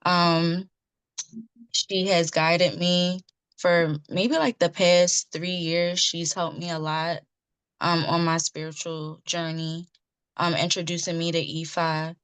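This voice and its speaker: A woman's voice: fairly low pitch, flat delivery, slow, clean tone, no room sound. A woman in her thirties introducing herself on a video call, hesitant and full of ums. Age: 30s. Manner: hesitant, many ums, soft-spoken. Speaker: participant.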